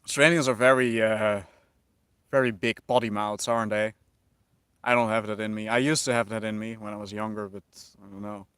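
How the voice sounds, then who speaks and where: A man's voice: on the low side, a little fast, clean tone, no echo, clean studio sound. A man from the Netherlands with a light Dutch accent, chatting casually with viewers on a livestream and musing over their questions. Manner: relaxed, musing, reads chat aloud and answers.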